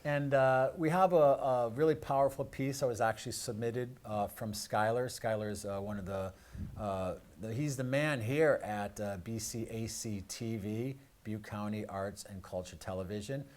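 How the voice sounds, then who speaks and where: A man's voice: lowish voice, normal speed, a little mellow. A man presenting a live talk show as host, in a hesitant, rambling way. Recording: clearly noisy live-stream room sound.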